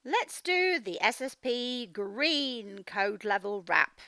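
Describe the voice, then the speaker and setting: A woman teacher giving a children's read-aloud phonics lesson, speaking in an animated, emphatic, instructional way and sounding out words. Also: on the high side, slow, no echo. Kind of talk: children's read-aloud lesson, explaining directly to young learners.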